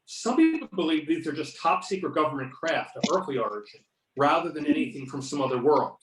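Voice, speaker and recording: A man's voice: fairly high pitch, very animated, brisk, background noise. Man reading as distant, echoey curious interviewer. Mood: curious, polite. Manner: polite, inquiring. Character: male interviewer, curious, politely probing the UFO expert. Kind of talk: amateur script reading of interview questions. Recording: echoey room, distant from the mic.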